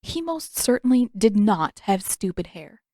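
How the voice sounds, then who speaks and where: A woman's voice: mid-range voice, big pitch swings, unhurried, warm tone, no echo. Woman narrating fiction in a dry recording. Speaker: podfic narrator and author. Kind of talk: scripted fiction narration, expressive. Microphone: close microphone, dry room.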